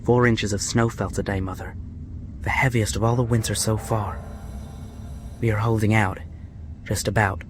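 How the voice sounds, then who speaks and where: An actor playing an anxious, devoted young prisoner, a son, in a radio drama. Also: male voice, lowish voice, little pitch movement, normal speed, pauses often, music in the background, natural voice. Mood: somber.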